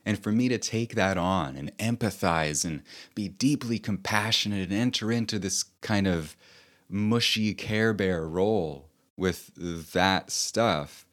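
A man with a deep voice giving a slow, thoughtful personal talk as an author and host. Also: fairly bright tone.

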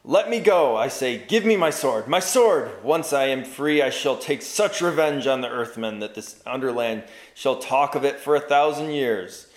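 A man's voice: medium pitch, measured pace, roomy sound, close to an everyday voice. A man voicing a tormented enchanted knight bound in a chair, pleading in grand old-fashioned speech and rising to frenzy. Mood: frantic.

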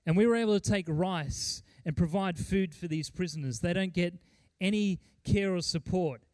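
A middle-aged man preaching as a pastor, speaking earnestly and emphatically, with deliberate pauses and repeated phrases. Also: high voice, darkish tone, dry recording. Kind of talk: sermon with mission report and scripture reading.

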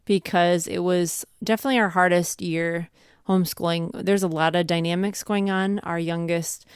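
A woman's voice: lowish voice, fairly even intonation, moderate pace, smooth, no room sound, clean studio sound. A woman in her thirties or forties sharing advice conversationally as a co-host, earnest and emphatic. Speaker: podcast co-host, homeschooling mother.

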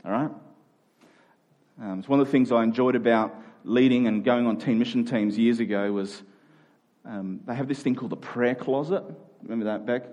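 A man's voice: mid-range voice, normal speed, a little mellow, muffled recording. Conversational, earnest male preacher. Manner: earnest, self-deprecating, thinks aloud with repeated phrases. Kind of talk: conversational sermon.

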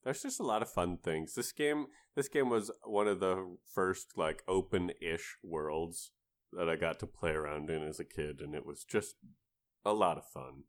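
A man's voice: low-pitched, normal speed. A man talking casually and easily about a video game on a podcast. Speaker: podcast speaker.

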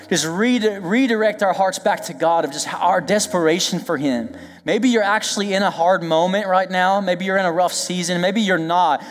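A male pastor preaching a lively, joking sermon, then leading prayer and worship while losing his voice. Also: on the high side, in a large hall. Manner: lively, joking, emphatic preaching with call-and-response asides. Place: church sanctuary.

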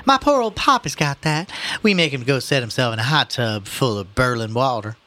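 A man's voice: medium pitch, expressive, normal speed, sharp tone, voice pushed up. A man voicing a chatty, sympathetic New Orleans bakery saleswoman in a novel reading, folksy and familiar. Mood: sympathetic gossip.

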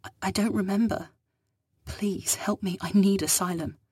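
A woman's voice: mid-range voice, fairly even intonation, moderate pace, mellow, slightly rough, no room sound, studio quality, pitched above the performer's own voice, natural voice. A woman voicing a frightened, ancient digitized alien woman who pleads for asylum in an afraid, breathless way. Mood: pleading. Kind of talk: audio story dialogue.

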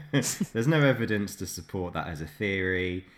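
A man's voice: low voice, animated, a little slow. A man co-hosting a casual podcast, teasing dryly and sharing history trivia. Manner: dry teasing, lecturing asides. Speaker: podcast co-host.

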